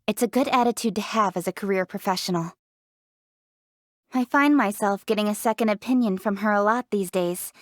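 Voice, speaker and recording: A woman's voice: medium pitch, dry recording, clean studio sound, natural voice. A young woman voicing a diligent, proud young city official in a video game, speaking in an articulate, assertive, matter-of-fact way. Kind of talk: scripted video game character voice lines.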